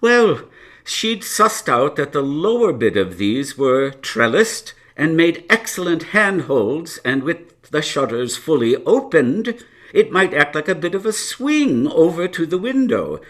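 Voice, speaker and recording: An actor playing a reflective gentleman narrator who recalls his friend's case in a musing, conversational way in an audio drama. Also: fairly high pitch, very animated, unhurried. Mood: explanatory.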